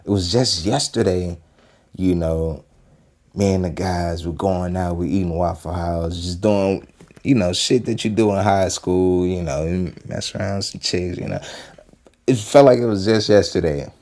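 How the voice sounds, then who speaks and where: Low, mellow-voiced young man talking casually. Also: measured pace. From Western Pennsylvania. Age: 20s. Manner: rambling, repeats himself, many fillers. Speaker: video host reacting to a clip. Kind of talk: casual motivational talk.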